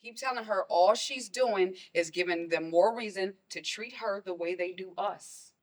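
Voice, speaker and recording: A woman's voice: medium pitch, normal speed, close to an everyday voice. Woman doing a strict mom in a stage play, protective, scolds and keeps firing questions. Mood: teasing, firm. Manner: forceful, scolding, rhetorical questions. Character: stern, protective mother of a college son.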